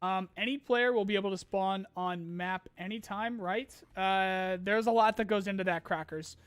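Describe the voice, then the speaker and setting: A man hosting a casual live-stream Q&A, rambling with many ums and restarts while his voice grows hoarse. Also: sits high, moderate pace, fairly bright tone, no room sound. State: losing his voice, clearing throat. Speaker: streamer host answering chat questions.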